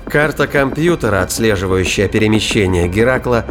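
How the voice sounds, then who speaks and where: A man's voice: fairly low pitch, animated, fairly quick. A man reading scripted narration for a science fiction thriller audio drama. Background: music and sound effects.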